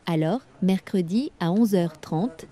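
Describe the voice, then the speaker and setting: A woman reading everyday French dialogues for learners, with clear, careful scripted delivery. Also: lowish voice, unhurried, dark tone, natural voice.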